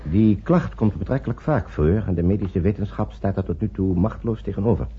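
An actor playing a measured, guarded male physician in a radio drama, speaking deliberately. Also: fairly low pitch, a little hiss or hum, natural voice. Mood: clinical, measured. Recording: muffled old radio recording.

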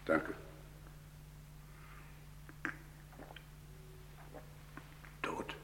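A man playing a wary, shrewd, wealthy English gentleman in an old German radio crime drama, with deliberate, dramatic delivery. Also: whispered. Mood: uneasy, hushed. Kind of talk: German radio crime drama acting, mid-20th century.

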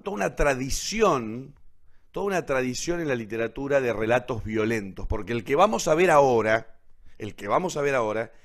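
A man with an Argentine accent hosting an informal literature talk online, explaining didactically and often checking with tag questions. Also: mid-range voice. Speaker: host introducing literature.

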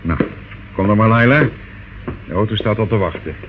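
A man's voice: fairly low pitch, moderate pace, lightly acted. A man playing a patronizing older gang boss in a radio play, coaxing and bossy. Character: smooth-talking, patronizing older male gang boss, falsely soothing. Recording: muffled, noisy old radio recording.

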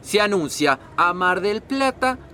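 An exasperated Argentine family father, played by a man, bossy and foul-mouthed in broad comic style. His voice runs very high and jumps around a lot, over clear urban background noise. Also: expressive, unhurried. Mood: irritated. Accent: Rioplatense Argentine Spanish. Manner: shouting, bickering, barking orders.

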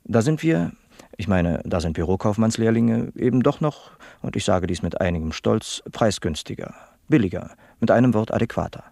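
A man's voice: on the low side, expressive, normal speed, vocal fry, darkish tone, dry recording, clean studio sound. An actor playing an earnest, literal-minded young male office apprentice in a satirical radio play, matter-of-fact and officious. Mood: proud, explaining. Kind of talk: satirical radio drama acting as an interviewee.

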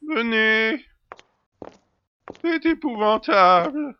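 A man's voice: extremely high pitch, lively intonation, very slow, smooth, lo-fi sound, heavily acted. A man playing an effusive, fussy factory director who repeats himself and rambles in a science-fiction audio drama. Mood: anxious relief. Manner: effusive, repetitive, long-winded.

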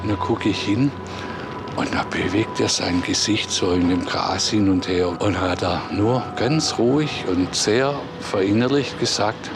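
A man recounts a memory informally. His voice is low and gravelly, recorded with clear outdoor traffic noise. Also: unhurried. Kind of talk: personal recollection. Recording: noisy outdoor ambience.

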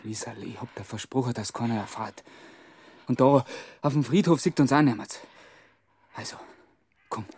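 A police inspector in a radio crime play, speaking Bavarian dialect. His voice is husky, and he questions with gruff insistence, coaxing when he needs to. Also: medium pitch, normal speed, long pauses, a little mellow, dry recording. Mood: insistent, coaxing.

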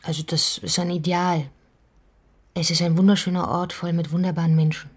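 An actress playing a weary, inward-turned woman writer, narrating her thoughts in a hushed, near-whispered monologue for a radio drama. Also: on the low side, little pitch movement, moderate pace, slightly rough, no room sound.

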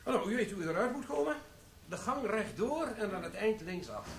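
An actor playing a formal, coolly dry security manager in a radio play. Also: male voice, high-pitched, big pitch swings, a little fast, vocal fry, echoey room, slight background noise, exaggerated voice. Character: stern middle-aged security manager, dry and cool.

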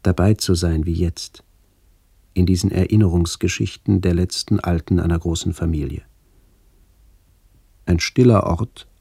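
An actor playing a reflective middle-aged man narrating a literary radio play in a measured, contemplative way. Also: deep voice, creaky, mellow, husky, dry recording, full character voice. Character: reflective man visiting his ageing family.

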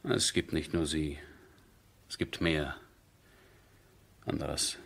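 An actor playing a sober, dutiful army major who speaks in measured, weighty phrases in a 1970s German radio drama. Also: male voice, very deep voice, steady tone, creaky, fairly bright tone, exaggerated voice. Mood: urgent, admonishing.

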